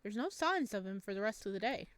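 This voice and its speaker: A woman with a medium-pitched voice hosts a gaming video in a dry, close recording. She reads story text aloud and slips into chatty, expressive asides to her viewers. Her manner is bubbly and informal. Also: fast talker, no echo. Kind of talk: let's play, reading game text aloud with chatty reactions.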